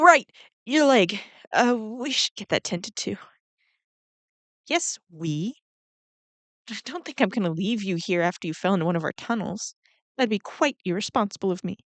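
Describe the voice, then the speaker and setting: A woman voicing a kindly, flustered giant ant queen in a roleplay audio drama, speaking gently and hesitantly to the listener. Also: mid-range voice, no room sound, natural voice. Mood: friendly, concerned. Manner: gentle, hesitant, rambling with small nervous laughs.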